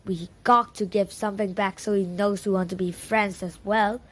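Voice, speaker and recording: A woman's voice: medium pitch, fairly even intonation, moderate pace, lightly acted. A woman reading the part of an eager, earnest young island boy in a storybook, plain-spoken and persuading. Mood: eager, thoughtful.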